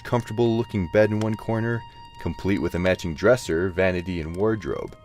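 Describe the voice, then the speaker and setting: A man's voice: low voice, darkish tone. A man narrating a scripted fantasy audio drama in a dramatic storytelling style.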